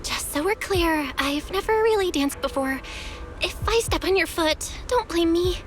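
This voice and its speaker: A woman playing an earnest, feisty and loyal young woman, blunt and expressive, in video game acting. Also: extremely high pitch, a little slow, a little hiss or hum. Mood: nervous, flustered. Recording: music under the voice.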